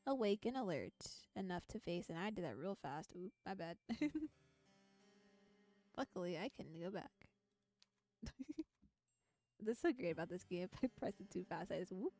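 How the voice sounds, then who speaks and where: A woman's voice: fairly low pitch, animated, moderate pace, pauses often, warm tone. A woman streaming a visual novel read-aloud, narrating the text and breaking off into chatty, giggly, playful asides. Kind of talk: narrated visual novel reading with casual live commentary. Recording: music behind the voice. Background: background music.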